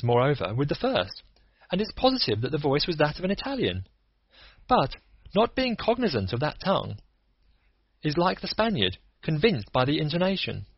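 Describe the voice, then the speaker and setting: A man's voice: a little high, husky, dry recording, dull audio, pitched above the performer's own voice, heavily acted. A man reading the lines of a cool, analytical male detective who explains his reasoning point by point. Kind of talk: audiobook character reading.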